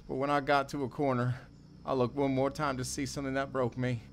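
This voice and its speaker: A man's voice: medium pitch, level tone, a little hiss or hum, natural voice. Actor as a haunted, remorseful male drifter. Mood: haunted, regretful. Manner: halting, confessional, brooding. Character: haunted, remorseful drifter confessing his violent past. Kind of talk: audio theater acting.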